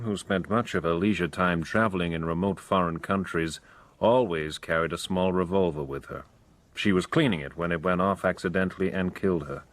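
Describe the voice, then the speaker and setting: Actor as deep-voiced, solemn British official. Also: male voice, lightly acted. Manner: formal, restrained. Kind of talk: radio drama acting.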